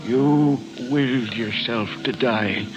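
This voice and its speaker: An actor playing a fearful, haunted man telling of meetings with the dead, halting and dramatic, in a 1940s-style radio mystery. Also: mid-range voice, natural voice. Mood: somber. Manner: halting, dramatic, hushed storytelling. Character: haunted, fearful man narrating his graveyard encounter. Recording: dull lo-fi old radio recording, music.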